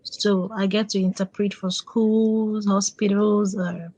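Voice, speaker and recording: A woman in her late thirties from Nigeria whose first language is Igbo speaks softly as an interview guest. She laughs often and hesitates with ums, and her voice sounds dry and close. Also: mid-range voice, flat delivery, takes it slowly, no echo, poor audio. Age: late 30s. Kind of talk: casual interview.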